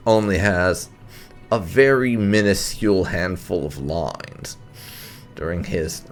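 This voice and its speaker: A man giving casual let's-play commentary in a wry, explanatory, sweary way. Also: deep voice. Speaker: gaming video host.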